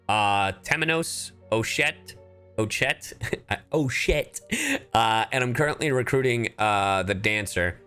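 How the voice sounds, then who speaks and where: A man's voice: mid-range voice, smooth. A man streaming a game, chatting casually and swearing freely as he drifts into tangents. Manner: animated, sweary, tangent-prone. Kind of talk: casual chat, live game commentary. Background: game music.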